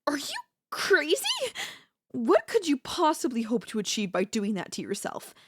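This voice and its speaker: A woman's voice: a little high, wildly swooping pitch, moderate pace, a little hiss or hum. A woman playing an obsessive, scheming yandere in a theatrical, haughty manner with formal phrasing, in an ASMR audio roleplay. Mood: alarmed, commanding.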